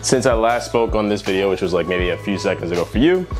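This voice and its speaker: Low-voiced young American man vlogging. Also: fast. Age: young adult. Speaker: car vlogger. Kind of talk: casual talk to camera. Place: inside a parked car, later a garage. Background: background music.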